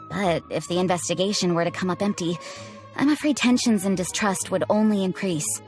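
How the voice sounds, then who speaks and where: A woman voices a female knight commander in a fantasy game. She sounds measured, courteous and earnest at a medium pitch, with faint music behind her. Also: natural voice. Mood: grave, concerned. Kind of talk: scripted video game voice acting.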